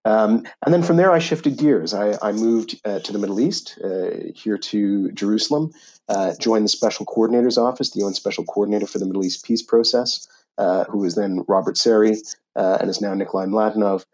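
Low-voiced Canadian man, halting and measured. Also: a little mellow. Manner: measured, many "uh" and "um" fillers. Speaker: guest, author and UN official. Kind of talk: podcast interview. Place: office in Jerusalem.

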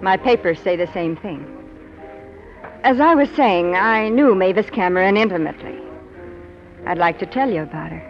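Female actor as worldly nightclub showgirl in 1940s radio noir, mid-pitched, coy, hesitant, vintage dull sound. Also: expressive, normal speed, pauses often, natural voice. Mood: coy, guarded. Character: guarded, worldly young nightclub showgirl on the run. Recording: band-limited 1940s radio with music.

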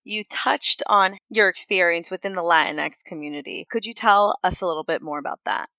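Woman podcast host asking polite questions, lowish bright voice, dry sound. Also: vocal fry, no room sound. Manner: measured, polite, inviting. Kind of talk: interview questions.

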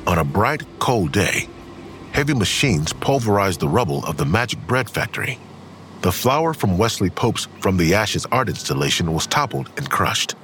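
Grave male storyteller: fairly deep, varied intonation, scripted audio drama narration. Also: sing-song, moderate pace, a bit bright, soft background music.

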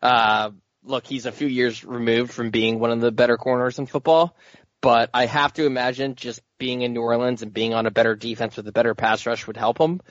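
A man on a casual sports podcast giving opinions in a measured, thinking-aloud way. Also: medium pitch, level tone, no echo, highs cut off. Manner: measured, uses "like" and "look".

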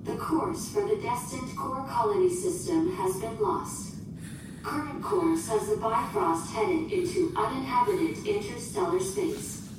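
A woman playing a literal, formal and emotionless ship computer in a science fiction audio drama, speaking in measured, precise official phrases. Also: high-pitched, very animated, music in the background. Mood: flat, informative. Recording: echoing, distant, noisy ship ambience.

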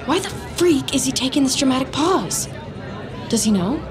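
A woman's voice: high voice, animated, moderate pace, crowd noise. A woman playing an anxious, scheming woman's inner monologue in an audio drama, frantically talking to herself. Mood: panicky, scrutinizing.

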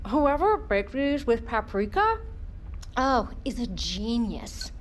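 An actress playing a playful, teasing marine biologist, chatting casually in a naturalistic audio drama. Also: female voice, on the high side, big pitch swings, takes it slowly, a little hiss or hum.

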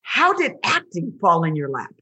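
Bright, lively-voiced woman interviewer. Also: on the low side, normal speed, husky. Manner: chatty, laughs easily. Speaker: interviewer host. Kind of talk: casual interview.

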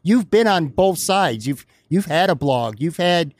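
A man's voice: fairly high pitch, animated, a little slow. A man hosting a podcast interview who asks long, rambling questions in a friendly, casual way. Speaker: podcast host. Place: bar or restaurant.

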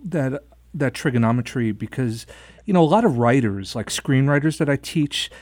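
A man's voice: medium pitch, lively intonation, normal speed, darkish tone, no echo. A man interviewing in a conversational way, musing and wordy as he circles toward his questions.

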